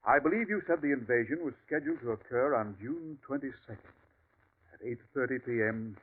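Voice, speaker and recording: A doctor who is secretly a Martian agent, speaking with calm, coldly polite amusement. The voice sits in the middle range and is heard through a tinny vintage radio broadcast. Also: male voice, mid-range voice, brisk, husky. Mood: calm menace. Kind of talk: 1940s radio acting.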